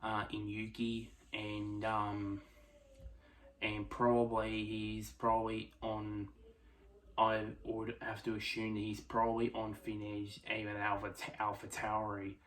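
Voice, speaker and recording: A man talks in a hushed voice, keeping it down late at night. His delivery is low and flat, and he stumbles often, filling gaps with ums and uhs as he restarts his sentences. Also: slow, slight background noise, rough recording. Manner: hesitant, many fillers and restarts; speaking quietly late at night. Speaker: F1 prediction vlogger. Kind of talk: casual solo commentary.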